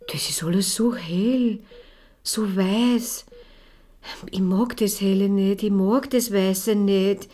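Slow, breathy, dazed actress as a wounded woman. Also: medium pitch, dark tone, natural voice. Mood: pained, weary. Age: 50s. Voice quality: breathy, often whispering. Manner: halting, repetitive, dazed questioning. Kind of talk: radio drama acting.

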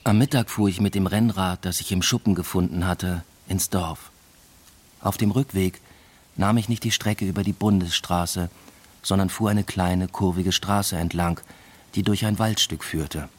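A brooding father telling his own story in a radio drama. His voice sits low and has a husky grain, with a reflective, literary delivery. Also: fairly even intonation, moderate pace. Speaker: narrator and lead character. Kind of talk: literary first-person radio drama narration.

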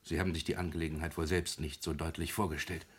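An actor playing a grave, formal legal counsellor and anxious family go-between in a classic German radio drama, measured and solemn. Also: male voice, very deep voice, little pitch movement, breathy edge. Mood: solemn, pleading.

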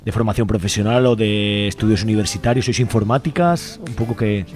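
A male radio interviewer with an accent from Spain, speaking at a rapid pace. He is informal and conversational, reworking his questions as he goes, with music behind him. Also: on the low side, a little mellow.

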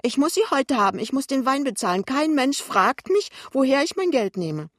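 Woman acting tipsy whining mother, pitched way up. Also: big pitch swings, moderate pace, no room sound, studio quality. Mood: angry demanding. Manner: whiny, complaining, insistent. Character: tipsy, tearful, indignant middle-aged mother, self-pitying hostess.

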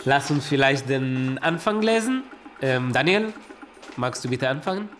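An actor playing a slightly muddled theatre director who talks in a halting, apologetic way at a rehearsal. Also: male voice, mid-range voice, lively intonation, fairly bright tone, soft background music.

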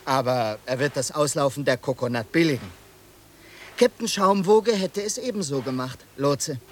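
A man's voice: medium pitch, expressive, normal speed, slight background noise, close to an everyday voice. An actor playing a resolute ship's helmsman in a radio drama, speaking in a clipped, commanding way. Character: resolute ship's helmsman giving brisk orders. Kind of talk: 1990s German radio play acting.

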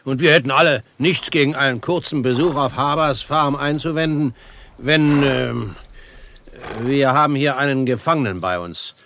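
An actor playing a confident, courteous frontier hero in a western radio drama, speaking with polite assurance. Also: male voice, mid-range voice, normal speed, fairly bright tone, slight background noise, close to an everyday voice. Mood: assured, courteous.

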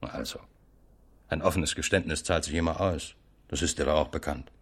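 A man's voice: rumbling low, moderate pace, husky. A man playing a hard, wheedling police interrogator in a radio crime drama, domineering and coaxing by turns. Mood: coaxing, jaded. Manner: domineering, alternately barking and coaxing. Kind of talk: 1970s German radio crime drama acting.

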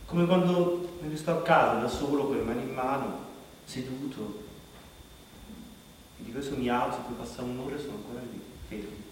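A man's voice: mid-range voice, moderate pace, lightly acted. An actor playing a desperate, self-conscious man who confesses in a hesitant, repetitive, pleading stage monologue. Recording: distant echoey hall, faint noise.

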